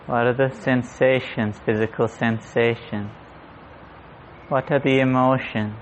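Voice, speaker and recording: A man guiding a meditation in a gentle, unhurried manner, leaving long pauses. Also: medium pitch, steady tone. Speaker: meditation guide. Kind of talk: guided meditation. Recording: muffled audio with clear background noise.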